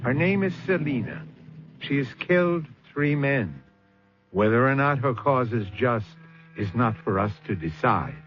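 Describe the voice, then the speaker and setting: An actor playing a knowing, sardonic host of a suspense radio drama, narrating with deliberate dramatic pauses. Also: male voice, medium pitch, very animated. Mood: ominous, knowing. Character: ominous, knowing male mystery host. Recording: dull old radio recording. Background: faint music.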